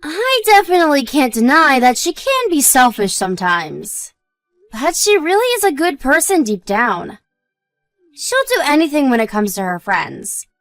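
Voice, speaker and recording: A supportive young wife, played by a woman, talks in a high, dry-recorded voice with wide swings of pitch. She is modest and reassuring, chatting in a scripted domestic story drama. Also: very animated, normal speed, a bit bright, no echo, soft background music, full character voice. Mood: chatty, even-tempered.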